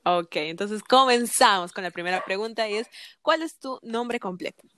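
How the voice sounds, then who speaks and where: A young woman hosting a casual podcast interview, chatty and quick to laugh, with lots of fillers. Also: mid-range voice, pushed and bright, a little hiss or hum. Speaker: podcast host.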